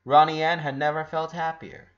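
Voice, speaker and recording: A man reading a story aloud as a narrator, with expressive, emphatic delivery and dramatic stresses. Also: a little high, normal speed. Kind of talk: scripted narration, audiobook-style story reading. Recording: dull lo-fi recording.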